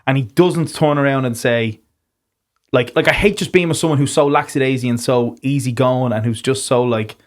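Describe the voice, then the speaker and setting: A man's voice: mid-range voice, normal speed. An Irish man with an ordinary mid-range voice hosts a podcast in easy, casual conversation. He swears freely and often stammers a word or two before getting his sentences going. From Ireland. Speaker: podcast host.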